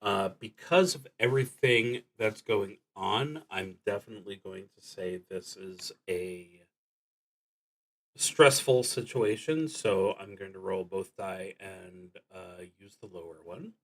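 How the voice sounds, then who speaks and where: A man's voice: sits low, a bit bright. A man streaming a solo tabletop roleplaying game, thinking aloud in a hesitant, musing, unscripted way. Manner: hesitant, musing, halting delivery.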